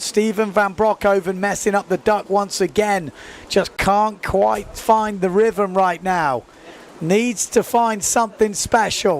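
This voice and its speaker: A man giving live sports commentary, excitedly calling out scores and time left on the clock. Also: sits high.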